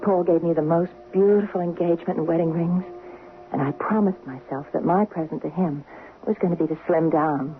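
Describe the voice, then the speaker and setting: Anxious young wife on tinny radio played by an actress. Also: female voice, lowish voice, a little fast, close to an everyday voice. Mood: wistful narrating. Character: anxious, insecure, devoted young wife haunted by dreams. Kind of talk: 1970s radio drama acting. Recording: noisy, tinny vintage radio broadcast.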